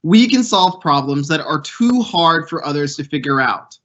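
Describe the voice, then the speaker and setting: Rich: A young man with a bright, fairly high voice speaks with earnest purpose and lifts his pitch on each item of a list. The sound is dull and lo-fi, typical of audio from a video call. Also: animated, normal speed. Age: young adult. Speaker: youth program fellow hosting a panel. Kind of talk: prepared speech and interview.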